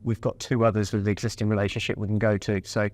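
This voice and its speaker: A man's voice: on the low side, level tone, quick pace, darkish tone. A man interviewing his guest in a conversational, hesitant way. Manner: conversational, hesitant, with many fillers. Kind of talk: casual interview talk.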